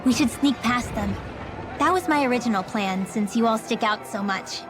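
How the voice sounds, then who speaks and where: A woman's voice: a little high, normal speed, natural voice. A woman playing a feisty, resentful young village girl in a video game cutscene, with a pointed delivery. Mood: guarded. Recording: music behind the voice.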